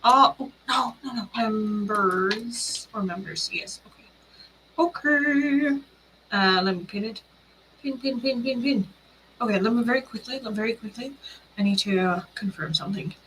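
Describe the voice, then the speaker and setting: Bright-voiced bubbly woman streamer. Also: a little high, normal speed, slight background noise, low-quality recording. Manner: chatty, bubbly, rambling with many fillers. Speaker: tarot live streamer. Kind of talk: casual live stream chat.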